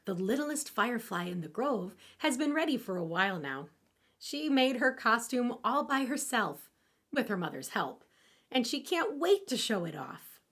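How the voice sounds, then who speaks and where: A woman narrating a scripted children's story in an expressive storytelling manner. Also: a little high.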